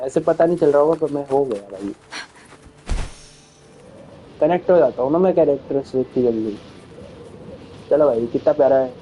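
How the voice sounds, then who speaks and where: A man's voice: medium pitch, animated, racing, long pauses, lo-fi sound, slight background noise. A man who natively speaks Hindi, giving casual, informal live commentary as a game streamer. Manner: informal, addresses viewers as brother.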